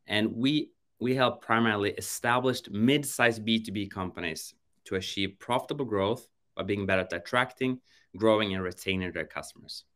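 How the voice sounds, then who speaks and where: Male host, 30s, measured moderator, low-set voice, office video call. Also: a bit bright. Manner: measured, friendly moderator with some restarts. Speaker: webinar host. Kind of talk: business webinar conversation.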